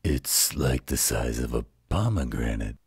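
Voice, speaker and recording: A gruff demon dad in a fantasy audio drama. The voice is extremely low and very husky, rolling out slowly. He sounds protective and reassuring. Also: expressive, darkish tone, no echo, studio quality, full character voice. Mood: puzzled, wry. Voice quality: very deep, gravelly husky voice. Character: gruff, protective male demon father in a fantasy werewolf tale. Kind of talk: fantasy audio roleplay acting.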